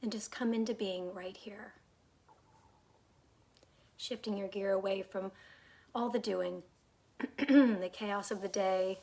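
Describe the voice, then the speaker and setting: A woman leading a guided meditation in a calm, unhurried, soothing way, her soft phrases trailing off into breathiness. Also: mid-range voice. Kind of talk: guided meditation, gentle instruction.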